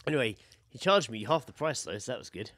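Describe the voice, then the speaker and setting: Male British podcast co-host, 30s, matey and sweary anecdote-teller, mid-pitched voice, very dry recording. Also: sing-song, quick pace, a bit bright, no echo. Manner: sweary, matey storytelling, quoting himself and others. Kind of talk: casual anecdotal chat.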